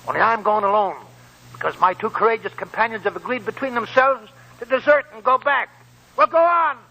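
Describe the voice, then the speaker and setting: An actor playing a wily, scornful old American gold prospector in a 1940s radio drama, with a raspy, creaky voice and folksy rambling talk. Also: male voice, sits high, bright, forceful tone, slight background noise, full character voice, rough recording. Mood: sarcastic. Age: older.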